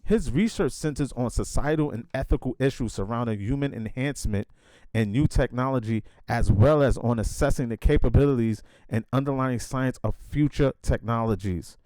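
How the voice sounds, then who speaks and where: Podcast host, male, hesitant halting questions, dark mellow voice, dry sound. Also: mid-range voice, dry recording. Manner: hesitant, many fillers and restarts in questions; emphatic hype intro. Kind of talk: casual interview chat.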